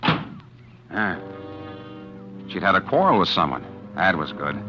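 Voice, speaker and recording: A cool, scheming killer narrating. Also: male voice, sits low, sing-song, slow pace, full character voice. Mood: brooding. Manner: hard-boiled, confiding, with nervous stammers. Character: cool, calculating man plotting to poison his wife. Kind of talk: first-person narration in 1940s radio acting. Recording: dull 1940s radio recording with music underneath. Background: music.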